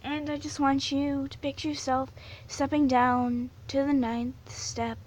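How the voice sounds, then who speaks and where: A woman guiding a hypnosis induction, slow and soothing, repeating suggestions over and over. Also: sits high, level tone, a little mellow.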